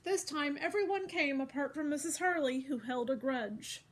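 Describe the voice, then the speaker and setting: A woman's voice: sits high, normal speed, slight background noise. A woman storyteller reading a picture book aloud to children, expressively, addressing listeners directly as she goes.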